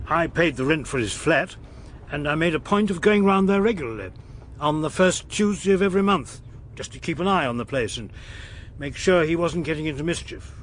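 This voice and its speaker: A man's voice: fairly high pitch, a bit bright, slight background noise. A man acting a strict, blustering, bereaved old English knight in a British radio drama, with formal, haughty phrasing. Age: older.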